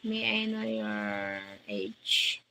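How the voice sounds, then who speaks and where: A woman in her forties from the Philippines, hosting a casual livestream and slowly greeting viewers by name as she answers their comments. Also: a bass voice, very animated, creaky, bright, forceful tone, a little hiss or hum. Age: 40s. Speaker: livestream host. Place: countryside outdoors at night.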